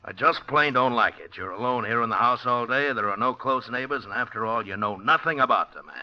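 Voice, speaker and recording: An actor playing a worried lodger who talks in a blunt, folksy way, in 1940s radio acting on a lo-fi old broadcast. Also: male voice, mid-range voice, brisk, lightly acted.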